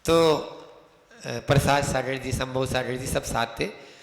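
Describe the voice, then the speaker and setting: A man giving a sermon as a monk, telling anecdotes and slowly chanting verses. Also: mid-range voice, steady tone, creaky. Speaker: Jain monk lecturer. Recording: echoey hall reverb.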